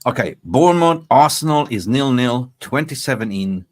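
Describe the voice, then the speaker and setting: Swearing, excitable male football fan host. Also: mid-range voice, moderate pace. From Sweden. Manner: blunt and swearing, excitable when reacting to live scores. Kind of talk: casual post-match fan chat.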